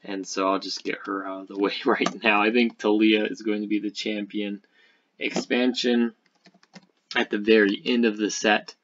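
A man's voice: on the low side, level tone, normal speed, fairly bright tone, highs cut off, a little hiss or hum. A man giving casual, speculative game commentary over a screen share, rambling and thinking aloud. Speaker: gaming video creator.

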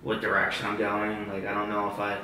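A man's voice: lowish voice, monotone, a little fast, pushed and bright, a little hiss or hum. A twenty-two-year-old man talking casually and hesitantly, rambling with many restarts. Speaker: vlogger's boyfriend. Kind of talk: casual chat. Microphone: hidden camera across the room. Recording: very echoey room, voice far from the mic.

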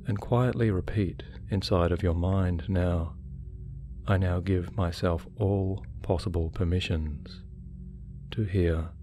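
A man guiding a hypnosis meditation in a soothing, measured way with deliberate pauses. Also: low-pitched, fairly even intonation, mellow. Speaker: hypnotherapy guide. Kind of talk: guided meditation, hypnosis narration. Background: relaxation music.

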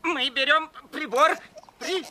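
An actor playing an eager, earnest little boy scientist in a cartoon, lecturing with excitement. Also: high-pitched, slow pace, full character voice. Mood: eager, explaining. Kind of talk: animated cartoon acting.

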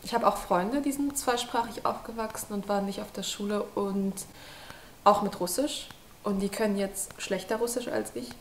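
A young woman student speaking calmly and reflectively in a classroom. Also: medium pitch, moderate pace, a little hiss or hum. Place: classroom indoors. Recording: echoey room.

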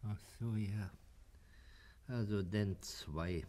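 A man's voice: lowish voice, drawn-out words, mellow, slight background noise. An actor playing a gregarious, middle-aged insurance salesman who gripes in colloquial Berlin dialect, in a German radio play. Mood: subdued, musing. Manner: colloquial, griping.

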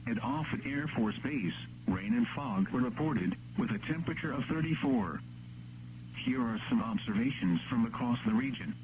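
A computer-generated man's voice reads scripted weather bulletins as an automated radio announcer. The pitch is average and the delivery is measured and impersonal. The radio broadcast carries a faint noise and hum. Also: expressive, darkish tone, poor audio. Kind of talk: synthetic scripted weather forecast reading.

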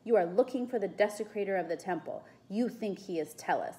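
A woman voicing a menacing, mocking male soothsayer in a mask, with a hoarse voice and a short temper, from a storybook. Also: medium pitch, lively intonation, brisk, warm tone, natural voice. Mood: tart, mocking.

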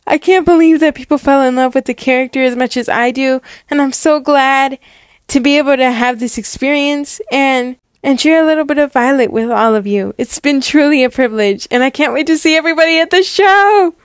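A woman voicing an earnest, modest young actress in a story roleplay, with a halting, gushing manner. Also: sits high, no echo, natural voice. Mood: stunned, grateful.

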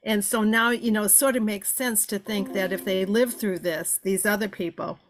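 An older woman in an online class talks in a rambling, grateful way over a video call. Her voice sits at a middle pitch and she loops back through her sentences. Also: fairly even intonation, moderate pace. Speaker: class participant. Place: home room with bookshelves.

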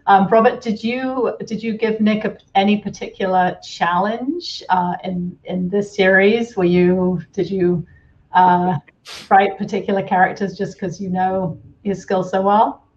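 A woman's voice: medium pitch, steady tone, unhurried, darkish tone, lo-fi sound. A middle-aged woman hosting a conversational interview over a video call from home. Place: indoors at home, room with bookshelves, on a video call.

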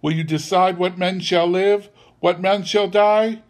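Man playing a stern ghostly spirit, high and declaiming. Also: fairly even intonation, a little slow. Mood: stern rebuke. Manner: grave, oratorical, declamatory.

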